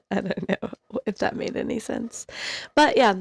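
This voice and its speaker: A woman's voice: mid-range voice, a little slow, gravelly, husky, no echo, clean studio sound. A young woman co-hosting a podcast, chatting casually with a giggly, rambling manner. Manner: giggly, rambling, self-deprecating. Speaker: podcast co-host. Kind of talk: casual chat.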